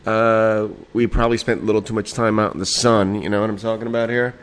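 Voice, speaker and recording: Rambling, tipsy late-night podcast host. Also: male voice, on the low side, flat delivery, quick pace, clear, resonant tone. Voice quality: a little nasal. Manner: rambling, laughing, profane, with many fillers. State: slightly tipsy. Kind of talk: late-night casual solo talk show. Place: home at night.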